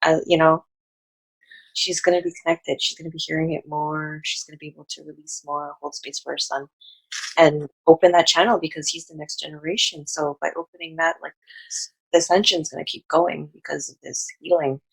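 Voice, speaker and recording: A woman with a low, gravelly, somewhat husky voice, chatting loosely as a healing practitioner. She rambles and trails off into asides. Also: flat delivery, brisk, poor audio. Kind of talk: casual chat.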